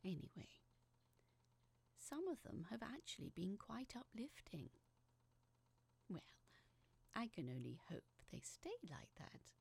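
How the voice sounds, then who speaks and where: A woman playing a pensive narrator in an audio drama, talking softly and hesitantly to herself as she records. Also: medium pitch, wildly swooping pitch, slow, creaky, pauses often, husky, exaggerated voice. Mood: hushed, pensive. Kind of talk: audio drama monologue, reading aloud.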